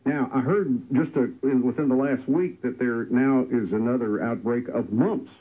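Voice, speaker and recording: Male radio host, 60s, husky and friendly, tinny broadcast audio. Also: mid-range voice, normal speed. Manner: friendly, conversational. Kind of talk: hosting a call-in radio show. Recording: lo-fi radio broadcast with faint music.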